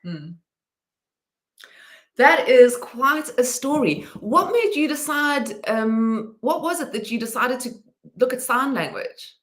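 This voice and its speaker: A woman's voice: high voice, big pitch swings, takes it slowly, a bit bright. A woman hosting a conversational interview, feeling out her questions in hesitant, gradually built phrases. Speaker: podcast host. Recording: roomy, echoing sound.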